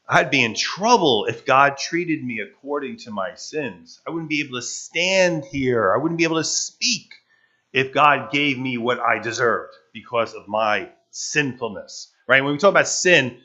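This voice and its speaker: A man preaching a sermon, playful and animated, his voice a little rough from allergies. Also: a little slow, lo-fi sound. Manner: animated, playful, jumps to high excited pitch. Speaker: pastor. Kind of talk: sermon with live interpretation.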